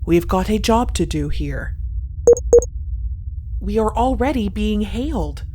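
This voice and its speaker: A woman's voice: medium pitch, lively intonation, slow. A woman playing a sensible, literal-minded talking spaceship in an audio drama, formal and matter-of-fact. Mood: businesslike. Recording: clear background noise, clicks.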